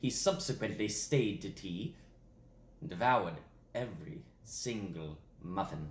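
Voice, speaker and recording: A man playing an indignant, pompous young English gentleman in a formal, theatrical stage monologue. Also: low voice, lively intonation, a little slow. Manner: formal, theatrical, emphatic declamation. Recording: roomy echo, faint background noise.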